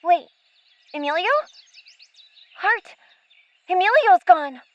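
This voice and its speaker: A woman's voice: very high-pitched, animated, slow, no echo, muffled recording, full character voice. A woman playing a plucky, caring young girl, an earnest take-charge big sister, in a radio play. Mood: alarmed. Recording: slightly band-limited, music behind. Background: music.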